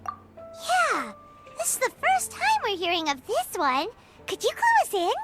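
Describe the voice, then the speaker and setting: A woman voicing a small, excitable floating girl companion who talks bluntly and cheekily in a game cutscene, over game music. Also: very high voice, heavily acted. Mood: curious. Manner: cheeky, blunt, quick to gasp and exclaim.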